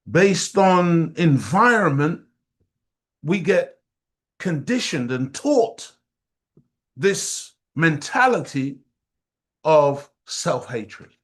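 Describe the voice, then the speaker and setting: A middle-aged man from Jamaica giving an impassioned community teaching talk, emphatic and preacher-like, repeating phrases for weight. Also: fairly high pitch. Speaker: study group speaker.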